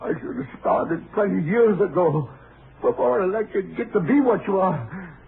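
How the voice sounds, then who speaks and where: A man's voice: high voice, expressive, normal speed, vocal fry. An actor playing a middle-aged, guilt-ridden father, strained and faltering, in a 1950s radio drama. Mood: angry, bitter. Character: anguished, guilt-ridden father of grown daughter. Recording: muffled, hissy old radio recording.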